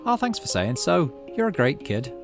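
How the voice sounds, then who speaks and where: A man reading a game's dialogue aloud and voicing a gentle, anxious, protective father. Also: on the high side, sing-song, normal speed, vocal fry, music in the background, pitched above the performer's own voice. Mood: affectionate. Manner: pleading, soft.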